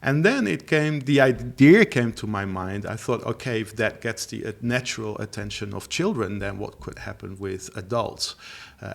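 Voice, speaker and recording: A man's voice: on the low side, gravelly. A middle-aged man from the Netherlands with a Dutch accent, a language teacher telling his story in an interview, chatty with frequent laughs and fillers. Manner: laughs often, many fillers and restarts, chatty. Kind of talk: interview guest, casual storytelling.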